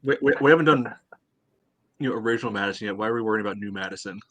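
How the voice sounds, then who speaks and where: A man's voice: on the low side, racing, a bit bright, no echo. A man in casual sports podcast chat, with a sarcastic, teasing manner. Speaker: podcast co-host.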